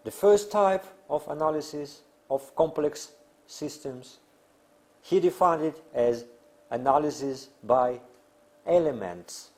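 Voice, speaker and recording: A man who is a non-native English speaker lecturing on theory in halting, deliberate phrases with emphatic pauses. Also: on the high side, a little mellow. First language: not English. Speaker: academic lecturer.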